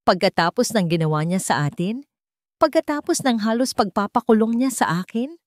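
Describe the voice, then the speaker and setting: A woman puts on a young Filipino boy, raised in pitch and plaintive. He is a wounded, resentful son in a radio drama, recorded dry. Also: expressive, fairly quick, no echo, lightly acted. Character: hurt, resentful young boy devoted to his mother.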